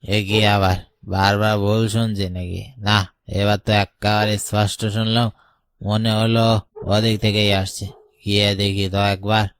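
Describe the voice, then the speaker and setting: Man acting an excitable village farmhand, dry sound. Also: lowish voice, moderate pace, clean tone, no echo, natural voice. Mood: tipsy, puzzled. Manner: servile, excitable. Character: superstitious, easily frightened tipsy village farmhand. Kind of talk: Bengali cartoon dubbing.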